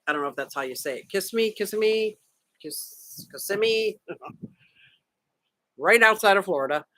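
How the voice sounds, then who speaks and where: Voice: medium pitch, animated, normal speed, pushed and bright, no echo. A livestream host chatting casually with viewers from a vacation room, joking around and greeting people by name. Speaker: livestream host reading chat. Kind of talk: casual live chat.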